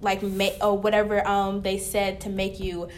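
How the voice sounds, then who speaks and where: A woman's voice: mid-range voice, monotone, normal speed, a little hiss or hum. A young woman co-hosting a casual roundtable discussion, rambling and sometimes searching for words. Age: young adult. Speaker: staff writer and podcast co-host.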